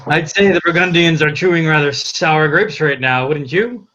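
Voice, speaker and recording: A man's voice: on the high side, moderate pace, pushed and bright. An actor playing a cocky, foul-mouthed young French king who gloats and swaggers like a stage showman, over a lo-fi online call. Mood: smug, triumphant. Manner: boastful, indignant, swaggering. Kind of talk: theatrical comic monologue in a table read. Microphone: video call.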